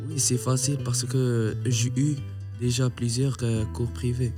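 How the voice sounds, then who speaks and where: A man, a language student, answering interview questions in a halting, hesitant way. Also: mid-range voice, flat delivery, music in the background.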